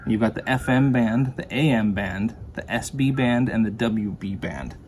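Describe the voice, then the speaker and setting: A man's voice: fairly low pitch, normal speed, darkish tone. A man giving a casual, plain and explanatory hands-on product demonstration. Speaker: product reviewer. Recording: clear background noise.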